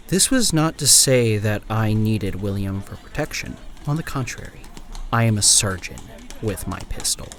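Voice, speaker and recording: A man playing an amnesiac old-time detective, narrating a grim first-person account in a horror audio drama in a measured, solemn way. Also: lowish voice, a little hiss or hum, lightly acted. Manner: measured, solemn, literary delivery. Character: amnesiac former Pinkerton detective writing a grim final account.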